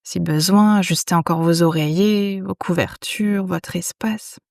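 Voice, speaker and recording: A woman leads a guided relaxation in a fairly low voice. She speaks very slowly and soothingly, leaving long pauses between phrases. The sound is dry and close, with very little room in it. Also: fairly even intonation, gravelly, a little mellow, no echo. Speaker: yoga nidra guide. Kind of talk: guided meditation.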